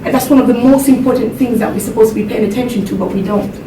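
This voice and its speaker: Earnest woman speaker in an echoey hall. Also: rapid-fire. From Jamaica. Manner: earnest, laughs along with the audience. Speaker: motivational speaker. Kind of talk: motivational seminar talk. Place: seminar room with an audience. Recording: echoey hall, distant mic, background rumble.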